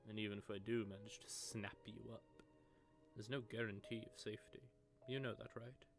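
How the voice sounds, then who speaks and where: A man's voice: fairly low pitch, fairly even intonation, brisk, music in the background, natural voice. A man playing a sardonic, predatory vampire with a sentimental streak, teasing and taunting in an intimate audio roleplay monologue. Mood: teasing menace. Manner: teasing, taunting, drawling menace with soft asides. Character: sardonic, predatory male vampire, secretly sentimental toward prey.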